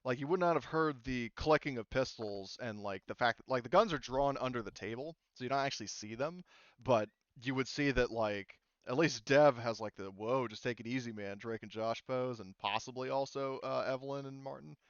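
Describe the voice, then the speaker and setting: A man's voice: mid-range voice, moderate pace, clear, resonant tone, no room sound, highs cut off. A man speaking casually as a game master, explaining rules and thinking aloud in a hesitant, informal way.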